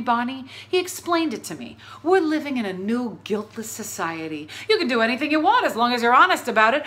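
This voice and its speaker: A woman's voice: mid-range voice, a bit bright, close to an everyday voice. A woman acting a betrayed middle-aged wife in a theatrical comic monologue, bitterly sarcastic, wounded and emphatic. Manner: emphatic, indignant, biting sarcasm.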